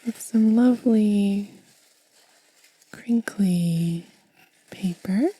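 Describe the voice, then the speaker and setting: A woman hosts ASMR in a breathy, hushed whisper. She moves extremely slowly through short, gentle phrases with pauses between them. The sound is close and very dry, with a soft, mellow tone. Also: mid-range voice, no echo, low-quality recording. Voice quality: breathy, mostly unvoiced whisper. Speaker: ASMR host. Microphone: close ASMR microphone.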